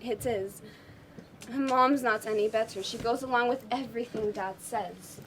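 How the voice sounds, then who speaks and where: High-voiced, defiant young drama student played by a woman. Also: normal speed, fairly bright tone, slight background noise. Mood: downcast. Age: teenager or young adult student performer. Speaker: student stage actor. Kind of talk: amateur stage play acting.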